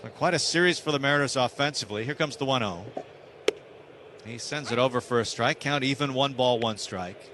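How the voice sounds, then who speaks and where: American baseball announcer, noisy ballpark behind him. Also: male voice, mid-range voice, fairly bright tone. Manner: clipped phrases, calling action as it happens. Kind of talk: live radio play-by-play commentary.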